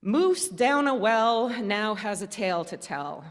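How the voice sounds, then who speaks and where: A woman preaching at a church service, telling stories and reading aloud in deliberate phrases with pauses and wry humor. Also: medium pitch, expressive. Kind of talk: sermon storytelling, reading aloud from notes and a newspaper story. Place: indoor church service, speaking to a congregation. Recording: reverberant church hall.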